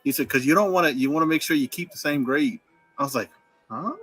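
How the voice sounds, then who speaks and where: A man joining a casual live stream, telling stories in an animated way and laughing easily. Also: fairly high pitch, fast talker, husky, no room sound, soft background music.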